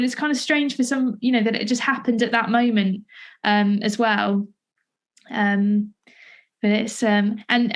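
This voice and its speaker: A woman talking conversationally as a podcast interviewer, feeling her way through sentences with frequent false starts. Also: a little high. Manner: hesitant, searching, hedging delivery with many false starts.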